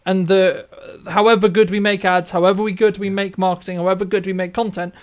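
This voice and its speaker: Guy host w a pretty high voice, chatty n opinionated, really leans on his points, repeats stuff. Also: little pitch movement, brisk. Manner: emphatic, repeats phrases for emphasis. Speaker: podcast host and filmmaker. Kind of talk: opinionated interview chat.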